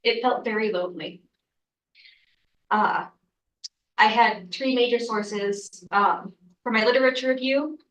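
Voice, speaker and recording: A woman's voice: on the high side, unhurried, pauses often, a bit bright, roomy sound, recorded from a distance, a little hiss or hum, poor audio. A young adult woman, congested from a cold, giving an earnest student research presentation with frequent ums and uhs. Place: lecture room.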